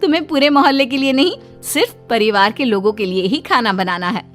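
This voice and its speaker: A woman voicing a brisk, chiding elder sister-in-law in a cartoon story. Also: a little high, faint music behind, natural voice.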